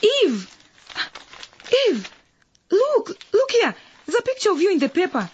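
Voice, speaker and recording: A woman acting an excitable, loyal teenage younger sister in a radio drama, animated and earnest. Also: extremely high pitch, a little slow, dull audio, exaggerated voice. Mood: excited.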